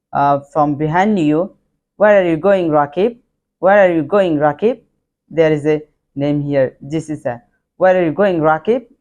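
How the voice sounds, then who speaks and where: A man's voice: fairly high pitch, a little mellow. Man teaching simple English. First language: Bengali. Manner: repeats example sentences in drills, simple phrasing. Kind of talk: online language lesson.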